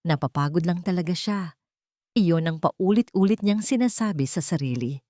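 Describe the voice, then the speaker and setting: A woman storyteller narrating a scripted romantic drama in an expressive, measured way. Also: on the low side, no echo, studio quality.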